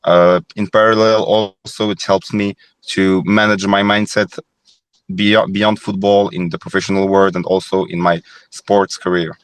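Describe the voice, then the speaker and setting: Young man from Morocco, very low voice, dry close sound, hesitant, reflective. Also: level tone, a little slow, smooth, no echo. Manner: thoughtful, hesitant, many fillers and restarts. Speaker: former goalkeeper, guest. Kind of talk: reflective podcast interview.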